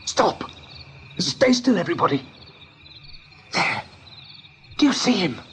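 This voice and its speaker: A man's voice: high voice, very animated, slow, fairly bright tone, slight background noise, exaggerated voice. A man playing a brave but cautious young rabbit leader, earnest and guarded, in an eighties radio drama. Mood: hushed alert. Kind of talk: 1980s radio drama acting.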